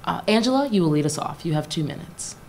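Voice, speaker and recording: A woman's voice: fairly low pitch, expressive, fast, vocal fry, a little mellow. A young woman moderating a student debate, reading questions formally and briefly. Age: young adult.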